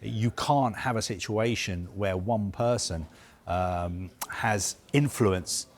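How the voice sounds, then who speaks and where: A man with a fairly low voice walks through a football news story for a live broadcast. He speaks in a measured, explanatory way and often pauses on "uh" and "um" while he lays out the details.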